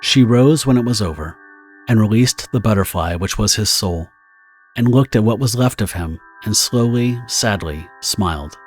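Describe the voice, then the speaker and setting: Deep-voiced male story narrator over music. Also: normal speed. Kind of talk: scripted dramatic story narration.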